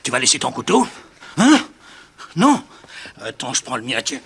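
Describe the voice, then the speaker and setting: An actor playing a scheming, ruthless American crime boss in a French radio crime serial, mocking and menacing. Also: male voice, medium pitch, huge pitch swings, moderate pace, creaky, fairly bright tone, breathy edge, exaggerated voice.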